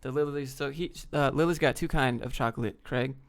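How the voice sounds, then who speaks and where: Male streamer, from the US, mid-pitched, heavy vocal fry, blunt, slangy, unscripted Q&A chat. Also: fairly quick. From United States. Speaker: livestream host answering viewer questions. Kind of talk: casual off-the-cuff Q&A chat, reading questions aloud.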